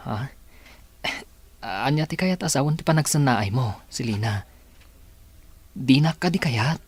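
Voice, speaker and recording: An earnest young man courts in an Ilocano accent. His medium-pitched voice swings expressively with each phrase, in the heartfelt manner of radio drama. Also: expressive, a little slow, breathy edge. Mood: earnest, pleading. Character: earnest young suitor confessing love.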